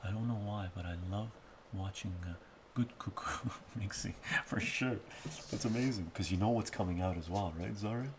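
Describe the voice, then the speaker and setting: A man's voice: lowish voice, normal speed, noisy background. A middle-aged man chatting casually to viewers while cooking in his home kitchen, moving toward and away from a fixed camera mic and often asking "right?". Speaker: cooking streamer. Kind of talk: casual live-stream cooking chat. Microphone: fixed camera mic, moves toward and away from it.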